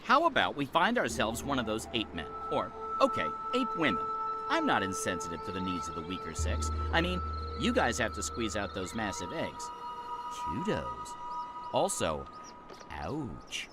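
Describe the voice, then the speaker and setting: A man's voice: fairly low pitch, wildly swooping pitch, normal speed, loud music behind the voice. A man voicing a wisecracking talking crow in a video game, a cheeky but loyal sidekick with a sarcastic, chatty, theatrical way of talking. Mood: playful bluster. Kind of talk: video game character acting.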